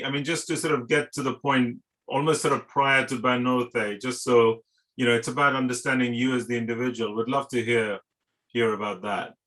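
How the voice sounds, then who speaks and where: A man hosts an interview over a video call with a bright, forceful voice. He talks in a loose, friendly way, padding his questions with filler phrases. His pitch sits in the middle range. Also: rough recording. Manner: chatty, hedging with "sort of" and "you know". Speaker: interview host, association chairman.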